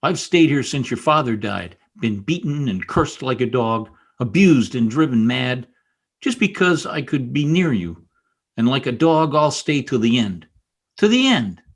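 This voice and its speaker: An actor playing a proud, brooding young male outcast in an old-time radio drama, intense and sullen with a husky voice. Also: mid-range voice, big pitch swings, measured pace. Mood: bitter passion.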